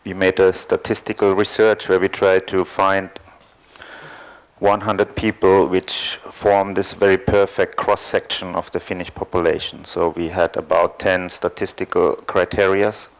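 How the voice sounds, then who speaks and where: Man, very low voice, gravelly, hesitant, panel talk. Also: flat delivery, a little slow. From East Germany. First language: German. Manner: hesitant, thoughtful, many fillers. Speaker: panel guest, artist. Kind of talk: panel discussion, personal account.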